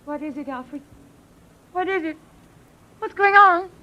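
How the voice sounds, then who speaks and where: An actress playing a frightened, fretful mother in an old-time radio drama, plaintive and high-strung. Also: measured pace. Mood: panicked. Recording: dull vintage radio recording.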